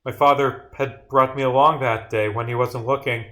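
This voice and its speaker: A man playing a guilt-ridden twenty-year-old in a visual novel, halting and formal. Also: mid-range voice, steady tone, a bit bright, close to an everyday voice. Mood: subdued confession.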